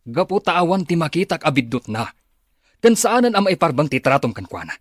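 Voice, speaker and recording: An actor playing a caring, protective father in a radio drama, earnest and emotive, with a husky voice and an Ilocano accent. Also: fairly high pitch, big pitch swings, brisk, dry recording, clean studio sound. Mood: reproachful. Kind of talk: Filipino radio drama acting.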